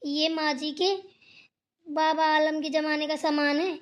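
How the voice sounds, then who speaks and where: Woman voicing a high-voiced, firm elder sister-in-law. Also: flat delivery, unhurried. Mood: cautioning. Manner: firm, lecturing, admonishing. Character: sensible, scolding elder sister-in-law in a family cartoon. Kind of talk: cartoon family drama dialogue.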